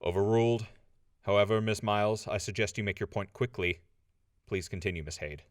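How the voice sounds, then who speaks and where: An actor plays a stern, composed male judge in a wasteland courtroom drama. His voice is on the low side, his rulings terse and measured. The recording is dry. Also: no room sound, lightly acted. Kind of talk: audio drama courtroom acting.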